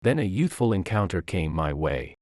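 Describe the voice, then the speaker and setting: A man's voice: deep voice, big pitch swings, normal speed, darkish tone, no room sound, studio quality. A man reading a scripted dramatic story as its narrator.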